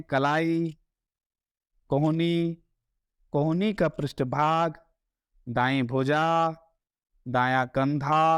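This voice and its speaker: A man giving soothing, deliberate guided meditation instructions as a yoga nidra teacher. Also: fairly high pitch, smooth, no room sound, clean studio sound.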